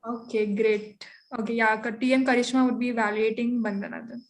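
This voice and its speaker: Chatty higher-pitched Indian-accented woman hosting. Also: steady tone, brisk. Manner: chatty, repeats confirmations like okay and right. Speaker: meeting host. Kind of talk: casual organising chat. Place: online video call.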